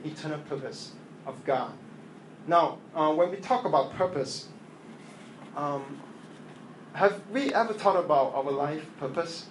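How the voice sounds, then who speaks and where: A man whose first language is Chinese, preaching a sermon in English after an opening prayer, halting and earnest with many fillers and restarts. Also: a little high. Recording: echoey room with faint ambient noise.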